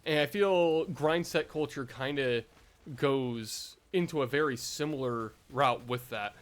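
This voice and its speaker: A man's voice: medium pitch, a little slow. Rambling young guy venting casually. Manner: rambling, filler-heavy, self-deprecating. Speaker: vlogger.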